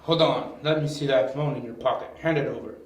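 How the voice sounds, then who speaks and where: A man playing a gruff, dim, menacing gang boss in an amateur audio drama, giving terse orders. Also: medium pitch, a little fast, close to an everyday voice. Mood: bossy. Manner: terse, commanding. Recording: very echoey, distant from mic.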